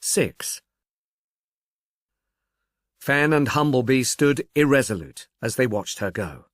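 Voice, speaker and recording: A man reading scripted literary narration as an audiobook narrator, in a measured, articulate storytelling manner. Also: mid-range voice, lively intonation, no echo, studio quality.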